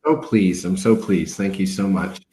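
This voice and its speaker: An older man, a spiritual teacher, talking calmly and reflectively, explaining ideas with everyday examples. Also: sits low, moderate pace, slight background noise. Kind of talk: reflective talk. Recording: roomy echo.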